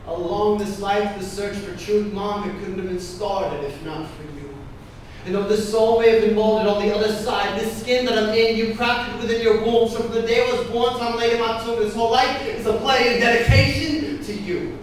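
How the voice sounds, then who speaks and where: A man's voice: sits high, fairly even intonation, normal speed, recorded from a distance. A young man performing slam poetry with an impassioned, emphatic, rhythmic delivery. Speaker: slam poet. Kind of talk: spoken-word poetry performance. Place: indoor poetry slam venue. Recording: echoey room from afar, faint noise.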